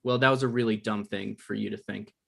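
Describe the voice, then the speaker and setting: An actor at a comedy table read playing a petty, self-important production coordinator, dry and argumentative. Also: male voice, fairly low pitch, fairly even intonation, brisk, vocal fry. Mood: scheming, defensive.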